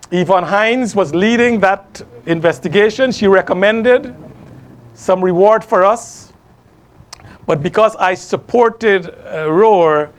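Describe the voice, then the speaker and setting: A Guyanese man in middle age hosts from a small studio. His voice sits high, and he speaks in a measured way, drawing out his questions to the audience. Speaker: host. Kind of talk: scripted monologue to camera.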